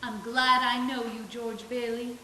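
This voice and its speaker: A woman's voice: fairly high pitch, level tone, a little slow, bright, forceful tone. A woman playing a wistful, determined small-town young woman in a live radio play, on an echoey stage. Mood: grateful. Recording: echoey stage, distant mic, faint hiss.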